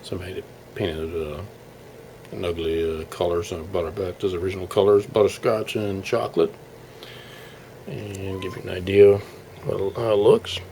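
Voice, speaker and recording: Male hobbyist presenter, low creaky voice, informal and halting delivery, faint background noise on a camera mic. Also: little pitch movement, mellow. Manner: halting, thinking aloud while showing parts. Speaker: hobbyist radio restorer presenting his project. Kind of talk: casual informal video walkthrough.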